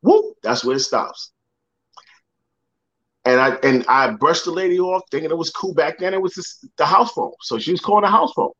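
A man's voice: on the high side, moderate pace, fairly bright tone, muffled recording. A young man, a motivational speaker, telling a personal story in a podcast interview. He repeats words and acts out the people he quotes.

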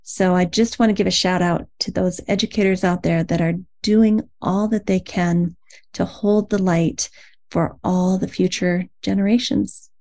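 A woman's voice: fairly low pitch, steady tone, unhurried, darkish tone. A woman speaking as the host of a podcast, introducing the show and interviewing a guest.

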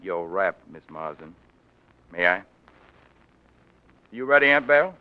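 Low-voiced, stern young Southern gentleman. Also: lively intonation, measured pace. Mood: coldly determined. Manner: formal, commanding. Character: proud, stubborn young Southern gentleman suitor, sternly proper. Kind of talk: 1940s radio drama acting. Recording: dull 1940s radio broadcast.

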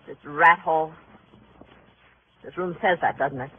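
An actress playing a bitter, tough widow in a 1950s radio crime drama, sardonic and defensive, with an American accent. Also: female voice, deep voice, a little slow, husky. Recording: muffled, narrow vintage radio sound.

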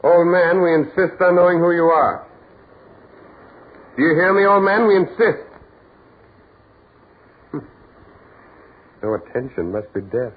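An American actor playing a curious, insistent man on a dark beach in a 1940s radio horror play. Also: fairly high pitch, animated, a little slow, pauses often, slightly rough, phone-quality sound. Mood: insistent calling. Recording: scratchy band-limited 1940s radio recording.

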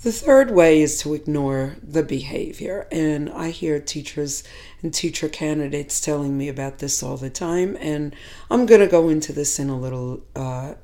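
A hoarse woman speaks in a low, mellow voice, measured and reflective as she talks fellow teachers through classroom ideas. She sounds middle-aged and pauses often to gather her thoughts. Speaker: teacher educator. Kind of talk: instructional talk to teachers.

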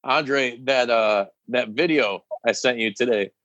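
A man's voice: mid-range voice, a little slow, fairly bright tone, dry recording, dull audio. A man co-hosting a podcast, laid-back and teasing, swearing casually in chat. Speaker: podcast co-host.

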